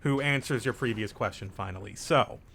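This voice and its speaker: Bright-voiced young man chatting gameplay. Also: fairly low pitch, normal speed, vocal fry. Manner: laid-back, joking, explains game mechanics. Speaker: let's play host. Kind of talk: casual group gameplay chat. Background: game music.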